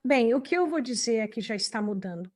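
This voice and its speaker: A woman's voice: fairly high pitch, lively intonation, brisk, darkish tone. A woman with a Brazilian accent giving reflective, earnest answers as a spiritual teacher in a dubbed interview.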